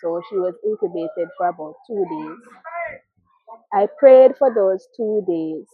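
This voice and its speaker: A woman giving a personal testimony as spoken storytelling, in halting short phrases with pauses and repeats. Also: medium pitch, lively intonation, gravelly, phone-quality sound. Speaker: storyteller, mother sharing her own story.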